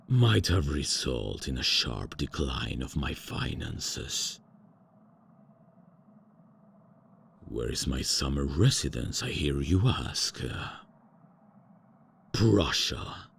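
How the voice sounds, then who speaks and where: A man's voice: sing-song, a little hiss or hum, exaggerated voice. A man voicing an ancient, arrogant male vampire lord in an ASMR roleplay drama, speaking in a pompous, grand manner. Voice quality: very deep, gravelly, slow and pompous.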